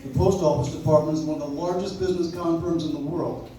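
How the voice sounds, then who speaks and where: An actor playing an earnest, formal, persistent defense lawyer in a live old-time radio play, recorded from the audience in an echoey room. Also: male voice, fairly high pitch, steady tone, quick pace, warm tone, far from the mic, a little hiss or hum, close to an everyday voice. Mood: earnest, insistent.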